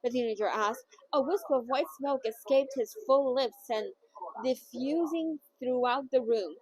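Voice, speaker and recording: A woman's voice: sits high, measured pace, a little mellow, rough recording. A woman hosting a casual novel read-along who rambles chattily and often trips over words. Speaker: book reader and host.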